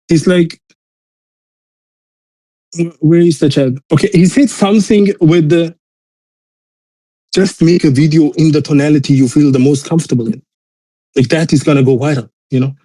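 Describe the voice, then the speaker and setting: A man from Austria with a mixed European accent and German as his first language speaks in a slightly raised, mellow register. He rambles emphatically through casual motivational talk, dropping bro slang as he goes. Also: on the high side, moderate pace, pauses often, rough recording. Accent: mixed European accent in English.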